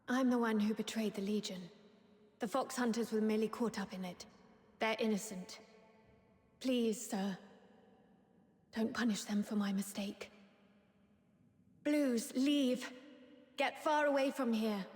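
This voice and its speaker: A woman's voice: fairly high pitch, lightly acted. A woman playing an earnest, self-sacrificing soldier and protective sister in solemn game dialogue. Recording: echoey hall reverb.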